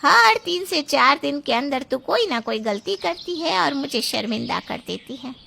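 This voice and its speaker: An earnest, reproachful woman from a family moral story, acted by a woman. Her voice is very high and bright with a noticeable creak. She speaks in a questioning, dramatized way. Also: animated, normal speed, slight background noise, heavily acted. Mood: disappointed reproach.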